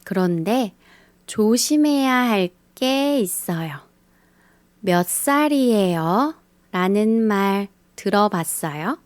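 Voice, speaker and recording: A woman teaching a beginner language lesson, speaking in a slow scripted style and carefully repeating the example phrases. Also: mid-range voice, animated, no room sound. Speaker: Korean teacher.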